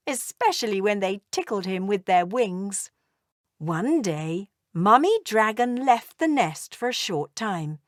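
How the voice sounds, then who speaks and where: Slow, animated woman storyteller. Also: on the high side, dry recording, studio quality. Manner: expressive, deliberate storytelling. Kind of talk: scripted children's story narration, read aloud.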